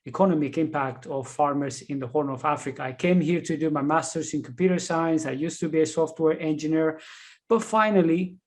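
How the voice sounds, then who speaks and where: An Ethiopian-accented man answers interview questions in an earnest, deliberate way, pausing on fillers as he goes. His voice sits a little higher than most men's. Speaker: guest, leadership coach and author.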